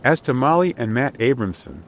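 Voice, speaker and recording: A man's voice: medium pitch, big pitch swings, fairly quick, slight background noise. A man narrating an old adventure storybook in a scripted, dramatic reading style. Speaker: audiobook narrator. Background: outdoor ship ambience.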